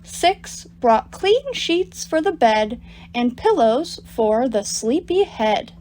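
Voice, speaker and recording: A woman reading picture books aloud to children as a storytime reader, in an animated, deliberate storytelling manner. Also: on the high side, slight background noise. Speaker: storytime reader at a public library. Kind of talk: expressive picture-book read-aloud for children.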